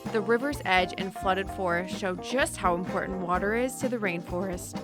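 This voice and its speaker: A woman TV presenter reads scripted promotional narration at a medium pitch. Prominent music plays right behind her voice throughout. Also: normal speed.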